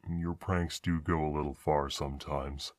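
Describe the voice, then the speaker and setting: A man playing a shy, gentle, anxious monster with a hesitant, soft-hearted way of talking, in an ASMR audio roleplay. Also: extremely low pitch, level tone, moderate pace, no room sound, clean studio sound.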